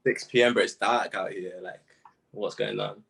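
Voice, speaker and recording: A man's voice: lowish voice, fairly even intonation, moderate pace, vocal fry, a bit bright, breathy edge. A young man from Zimbabwe, chatting casually and laughing often on a video call. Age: young adult. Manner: slangy, laid-back, laughs often. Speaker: musician guest. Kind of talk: casual interview chat.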